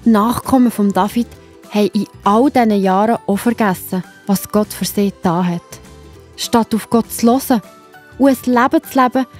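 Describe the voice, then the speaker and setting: A woman's voice: medium pitch, moderate pace, faint music behind. A woman with a Swiss accent narrating a children's Bible story, explaining simply and speaking directly to young listeners.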